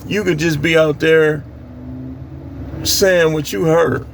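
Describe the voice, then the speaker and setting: American man, creaky voice, informal scripture preaching, admonishing, repetitive emphasis, faint outdoor noise. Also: on the high side, steady tone, takes it slowly. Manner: admonishing, plain-spoken, repeats phrases for emphasis. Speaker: street preacher.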